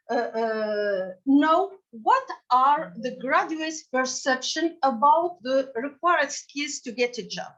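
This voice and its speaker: A woman with a Tunisian accent giving an academic conference presentation in a halting, hesitant way. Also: high voice, a bit bright, low-quality recording. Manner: halting, frequent hesitations mid-phrase. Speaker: conference presenter and session co-chair.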